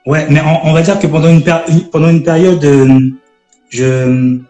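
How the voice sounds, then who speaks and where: A man in his twenties from French Guiana, a gospel rap artist chatting on a live stream, halting with many fillers and restarts. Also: fairly high pitch, level tone, mellow, echoey room, faint music behind. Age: 20s. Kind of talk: casual live-stream interview.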